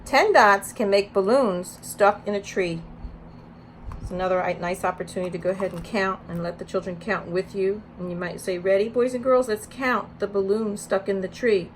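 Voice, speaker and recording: A woman's voice: mid-range voice, moderate pace, slight background noise, poor audio. A woman educator giving a read-aloud lesson with teaching tips, speaking in an instructional way and with emphasis when reading. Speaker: early childhood educator.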